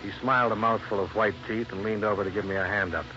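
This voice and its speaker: An actor playing a wry, hardboiled American investigator, dry and wisecracking, in old radio detective drama. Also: male voice, on the low side, steady tone, quick pace, background noise, lightly acted. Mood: wry narrating. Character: wry, hardboiled American insurance investigator narrating his case. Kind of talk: 1950s radio detective narration and dialogue. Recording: muffled old radio recording.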